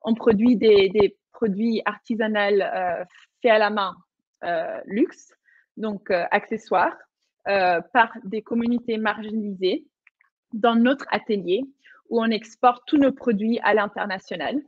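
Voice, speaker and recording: A woman's voice: mid-range voice, a little slow, no room sound. A young woman from Madagascar, a panel guest speaking on a video call, hesitant with many fillers as she searches for words. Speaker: panel guest, social entrepreneur. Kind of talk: online panel talk. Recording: dull lo-fi sound.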